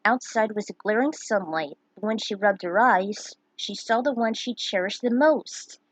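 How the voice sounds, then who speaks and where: A woman's voice: medium pitch, moderate pace, no room sound, rough recording. A woman reading a scripted fantasy story aloud as its narrator. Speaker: narrator reading own fan fiction.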